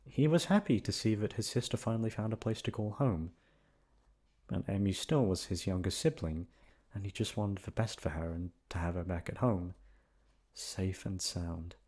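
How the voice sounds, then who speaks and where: Low-voiced man telling a bedtime story. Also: moderate pace, darkish tone, breathy edge. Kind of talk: bedtime story narration, ASMR.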